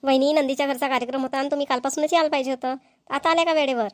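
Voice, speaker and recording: A woman acting as a talkative cartoon village woman with a Vidarbha Marathi accent. Her voice sits high and rattles along quickly, full of folksy family chatter, in a dry, close sound. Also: fairly even intonation, no room sound, lightly acted. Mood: insistent. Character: chatty village women gossiping at a family engagement. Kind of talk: amateur cartoon dialogue acting.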